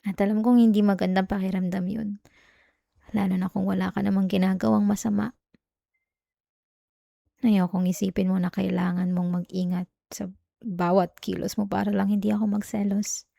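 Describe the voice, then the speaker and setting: Woman acting as jealous young girlfriend, Filipino accent, intimate ASMR roleplay, gravelly creak, mellow tone, dry recording. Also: medium pitch, level tone, moderate pace, pauses often, no echo. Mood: remorseful, tender. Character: jealous young girlfriend, sulky, clingy, turning remorseful.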